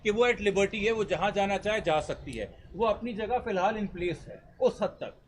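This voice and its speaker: High-pitched Pakistani man, formal and emphatic. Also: expressive, moderate pace, a little hiss or hum. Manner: articulate, formal, emphatic, mixing in English legal terms. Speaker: lawyer speaking to reporters. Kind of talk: press statement answering reporters' questions.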